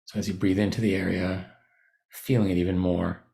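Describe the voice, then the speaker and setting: Guy teaching meditation, lowish gravelly voice, gentle and unhurried, pauses a lot mid sentence. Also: level tone. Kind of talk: guided body scan meditation.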